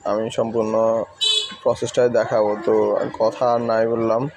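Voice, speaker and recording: A man's voice: fairly low pitch, level tone, normal speed, darkish tone, slight background noise. A man with a Bangladeshi accent gives an informal step-by-step repair tutorial in a plain, instructional manner. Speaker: phone repair technician giving a tutorial.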